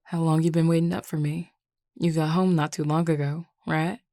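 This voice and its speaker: Woman playing a low-voiced Southern werewolf flirt. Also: little pitch movement, normal speed, mellow, dry recording, clean studio sound. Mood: playful, flirty. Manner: teasing drawl with colloquial phrasing. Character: flirtatious, teasing Southern werewolf, relaxed and affectionate. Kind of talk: audio roleplay acting.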